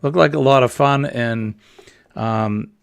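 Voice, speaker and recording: A man's voice: medium pitch, slow, gravelly, clear, resonant tone, no echo. A man hosting a casual online chat show, reading viewer comments aloud and chatting loosely. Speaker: host.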